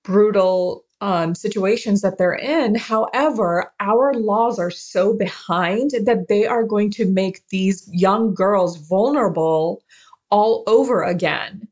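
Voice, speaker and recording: A woman's voice: medium pitch, slow, a little mellow. A woman from Pakistan speaking earnestly and emphatically as an interview guest, repeating key words for stress. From born in Karachi, Pakistan. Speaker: interview guest, forced-marriage survivor and advocate.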